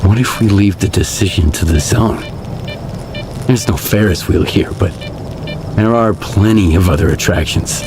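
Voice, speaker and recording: An actor playing a resolute, stoic male loner in a video game cutscene, with a terse, grave, measured delivery. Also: low voice, background noise. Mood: grave, resolved. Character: resolute, stoic male lone stalker of the wasteland.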